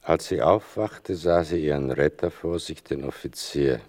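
An actor playing an older narrator in a literary radio play, gravelly and deliberate, with a bitterly ironic, mournful manner. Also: male voice, rumbling low, darkish tone. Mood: grave, restrained. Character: sardonic, mournful male narrator telling his mother's wartime story.

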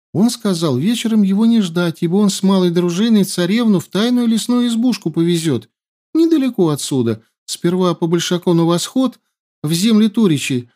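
Audiobook: male reader doing a sly young woman spy, high and whiny, pitch jumps all over. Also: mellow. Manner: whiny pleading. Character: young woman herbalist spy, cunning, wailing.

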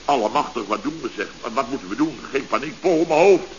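An actor playing a bossy, easily panicked contractor boss in an exasperated, sputtering 1970s Dutch radio comedy style, with a Netherlands accent. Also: male voice, mid-range voice, expressive, a little fast, natural voice. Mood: panicky. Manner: exasperated, commanding, exclamatory, sputtering. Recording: dull, hissy old radio recording.

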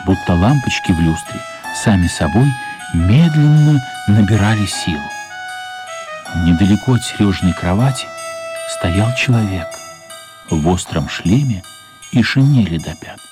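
A man narrating a children's radio play as scripted storytelling, measured and expressive, with pauses. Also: lowish voice. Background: music.

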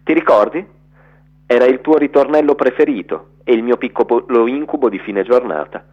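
A man giving a theatrical, storytelling reading of a literary letter for radio, in an expressive narrator's delivery. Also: mid-range voice, normal speed, muffled recording.

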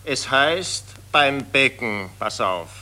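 An actor playing a naive, petty Viennese amateur poet in a satirical radio play, earnest and colloquial. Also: male voice, mid-range voice, animated, slow pace, sharp tone, exaggerated voice. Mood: proud reciting. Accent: Austrian, Viennese.

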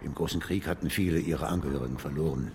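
An actor in a German radio drama playing an earnest, dignified gentleman author who recounts his memories deliberately. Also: rumbling low, slight background noise. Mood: reminiscing. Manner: dignified, deliberate, slightly hesitant. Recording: music behind the voice.

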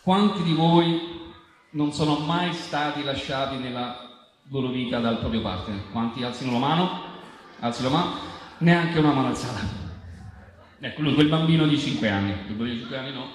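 A male stage performer delivering a comic monologue to an audience in a deliberate, mock-solemn way with pauses. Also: mid-range voice. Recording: echoey, reverberant stage with faint noise.

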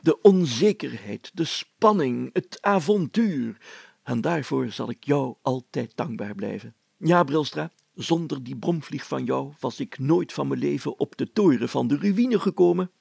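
Storybook mayor performed by a male reader: pompous, excitable adventurer, heavy creak, husky, dry close sound. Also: mid-range voice, unhurried, no echo, heavily acted. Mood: gleeful, excited. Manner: pompous, exclamatory, chatty. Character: excitable, self-important, adventurous village mayor, a man.

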